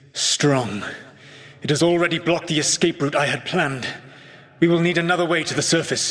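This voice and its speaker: An actor playing an ancient, proud elven rebel mage burdened by regret in a video game, speaking in a grave, formal, measured way. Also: male voice, on the high side, fairly bright tone, big-room sound. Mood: grave, urgent. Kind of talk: theatrical video game voice acting.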